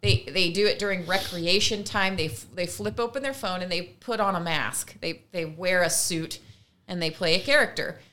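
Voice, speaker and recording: A woman's voice: on the low side, moderate pace, pushed and bright. A woman co-hosting a podcast, explaining her views casually through examples, with wry asides. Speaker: podcast co-host. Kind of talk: casual conversational commentary.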